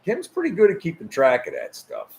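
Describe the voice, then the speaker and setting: A man's voice: on the high side, wildly swooping pitch, fairly quick, vocal fry. A man in his fifties hosting a casual live chat about cars, easygoing, often stammering and laughing as he talks. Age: 50s. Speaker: host.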